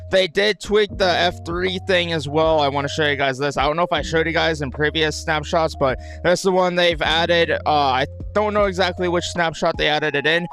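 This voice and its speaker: A man's voice: fairly high pitch, a bit bright. A man with a dry, just-woken throat gives casual game commentary, rambling and repeating his phrases. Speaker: gaming video host.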